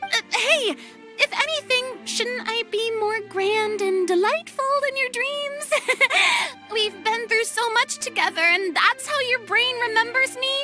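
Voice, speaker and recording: Dramatic young starlet for a game: very high, slow-paced, theatrical, music underneath. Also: female voice. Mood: flustered. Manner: theatrical, flustered, grand. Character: dramatic, theatrical young female film star. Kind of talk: video game character acting.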